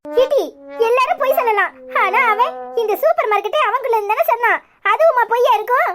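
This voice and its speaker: A woman's voice: very high voice, lively intonation, a little fast, music in the background. A woman playing an aggrieved, stubborn older village mother in a melodrama, complaining insistently in a southern Tamil Nadu accent. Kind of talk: melodramatic village serial acting.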